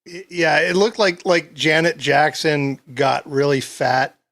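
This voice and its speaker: A man's voice: a little high, steady tone, slow, a bit bright. Laid-back, joking male co-host. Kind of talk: casual live panel talk.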